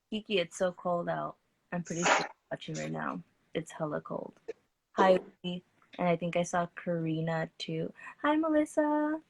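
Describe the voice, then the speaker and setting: A woman's voice: lowish voice, lively intonation, a little slow, creaky, noisy background. Chatty woman hosting a livestream. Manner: greets viewers by name, chatty and upbeat. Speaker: host of an artist talk livestream. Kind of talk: casual livestream interview.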